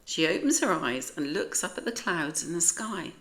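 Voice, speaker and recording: A woman with a British accent narrating a children's storybook as an expressive read-aloud. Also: sits low, normal speed.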